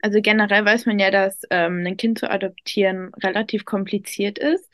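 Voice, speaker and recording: A woman's voice: medium pitch, fairly even intonation, moderate pace, a bit bright, no room sound. Young female interviewer, mid voice, upbeat and casual, dry close sound. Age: young adult. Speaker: youth reporter, interviewer.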